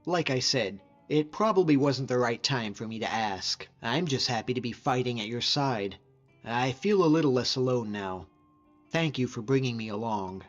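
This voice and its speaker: A man plays a young Nordic hunter from a fantasy video game, loyal and devout. He talks in an earnest, informal way at a medium pitch. The sound is slightly muffled, with a long reverb and music behind him. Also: expressive, normal speed, natural voice. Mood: friendly, earnest. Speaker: video game follower character. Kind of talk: conversational game character voice acting.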